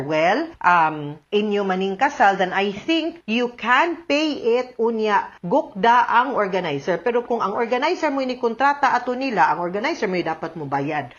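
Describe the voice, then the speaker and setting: A woman's voice: fairly high pitch, highs cut off. A woman with a Cebuano Filipino accent giving conversational advice as a radio host, explaining emphatically with frequent tag questions. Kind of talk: conversational advice talk mixing in English.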